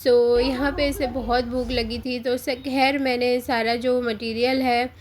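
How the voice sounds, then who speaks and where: An Indian woman in her thirties narrates a home vlog through a close voice-over mic, her voice hoarse from a sore throat. She speaks a little high for a woman, in a flat, even tone, soft and unhurried, with frequent pauses. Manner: soft, unhurried, pauses often with "uh", mixes English into Hindi. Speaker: vlogger.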